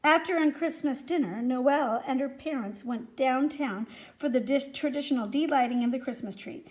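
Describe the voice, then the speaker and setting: Female storyteller, high voice, animated and expressive, reading a picture book to young children. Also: normal speed, rough recording. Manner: animated, addresses young listeners with questions. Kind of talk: expressive read-aloud for children.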